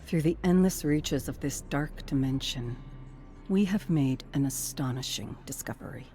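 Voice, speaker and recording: An actress playing a composed expedition leader, reading a game journal in a measured, formal, matter-of-fact way. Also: female voice, low-pitched, warm tone, natural voice. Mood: measured, intrigued. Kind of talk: scripted dramatic journal narration. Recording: music behind the voice. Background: music.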